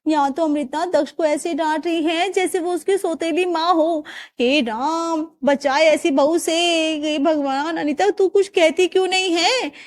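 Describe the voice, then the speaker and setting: A woman's voice: very high-pitched, a little slow, voice pushed up. A woman voicing a gossiping older neighbor who exclaims and tuts over family matters. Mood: gossipy.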